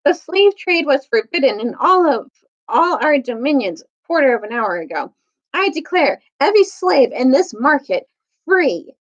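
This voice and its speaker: A righteous young storybook king, read by a woman, declares things formally in a high, animated voice. The sound is a little muffled. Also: normal speed. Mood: stern, commanding. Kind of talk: children's storybook read-aloud.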